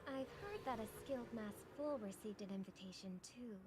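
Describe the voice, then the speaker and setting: A woman's voice: a little high, normal speed, noisy background, lightly acted. An actress voicing a poised young woman of a ruling family in a game, polite but coolly stern. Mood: composed.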